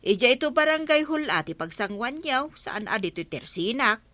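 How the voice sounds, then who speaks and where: Casting: brisk barangay councilwoman, radio comedy, Ilocano accent, crisp forceful tone, very dry close sound. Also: on the high side, sing-song, dry recording. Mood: firm.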